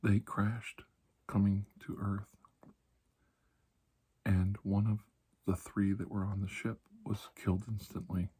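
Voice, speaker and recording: A man playing a calm, kindly doctor in a soft-spoken ASMR roleplay, reassuring and deliberate, with long pauses and breathy slips into whispering. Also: fairly low pitch. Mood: hushed, confiding. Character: calm, kindly, ageless male doctor of alien medicine.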